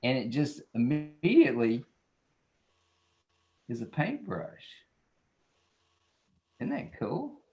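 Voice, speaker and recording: A man's voice: mid-range voice, huge pitch swings, takes it slowly, long pauses, darkish tone, highs cut off. A man, an illustrator talking casually and playfully as he demonstrates drawing. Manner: folksy, playful, self-described silly. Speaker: children's book illustrator.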